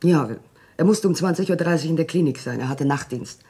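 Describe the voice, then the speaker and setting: Distraught wife in radio crime drama: husky female voice, halting, agitated, evasive. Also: sits low, warm tone. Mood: nervous, evasive. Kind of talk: German radio play acting, dramatic dialogue.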